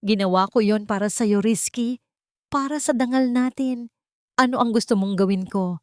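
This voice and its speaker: A woman's voice: a little high, normal speed, a little mellow, no room sound, studio quality, voice pushed up, lightly acted. A woman voicing a proud, defiant older society matriarch in an audio story drama.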